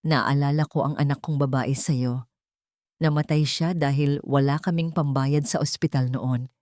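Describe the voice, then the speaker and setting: A woman's voice: deep voice, steady tone, moderate pace, darkish tone, no room sound, clean studio sound, pitched below the performer's own voice, close to an everyday voice. A woman voicing a frail, kindly old janitress in a storybook drama, weak and plaintive. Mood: tender, sorrowful.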